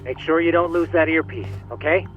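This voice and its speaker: A man's voice: fairly high pitch, a little fast, muffled recording, soft background music, natural voice. An actor playing a chatty, eager young male hacker in a video game, speaking in a formal, theatrical, wordy way. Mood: helpful, composed. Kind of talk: English video game voice acting.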